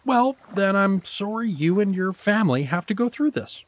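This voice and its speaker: A man's voice: sits high, expressive, moderate pace. A man playing a bumbling, good-natured married everyman hero, hesitant and folksy, in a fantasy comedy audio drama. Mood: flustered, friendly.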